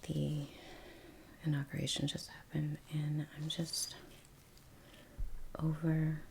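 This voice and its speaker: A woman softly whispering in a breathy voice, chatting in an unhurried, murmuring ASMR style as she works. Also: low-pitched, completely flat delivery, a little hiss or hum, rough recording. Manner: unhurried, trailing off, murmured musing. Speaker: artist, ASMR video creator. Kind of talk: soft-spoken ASMR painting chat. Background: soft brush and painting sounds.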